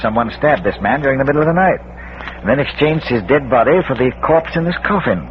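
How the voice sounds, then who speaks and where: Actor as a scholarly gentleman sleuth, muffled vintage radio. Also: medium pitch, animated, brisk, close to an everyday voice. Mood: brisk, reasoning. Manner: precise, hesitant pedantic asides. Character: brisk, scholarly amateur detective, a well-bred older gentleman. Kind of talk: old-time radio mystery acting. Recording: dull, noisy vintage radio recording.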